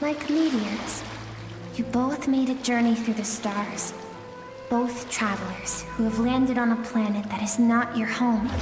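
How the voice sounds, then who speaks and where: Brief: a soft-spoken dreamlike spirit, a touch high, echoey game cutscene. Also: female voice. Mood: wistful. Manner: soft, gentle, reflective. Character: calm mysterious young woman spirit of an inner world. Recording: echoey dreamlike reverb under music.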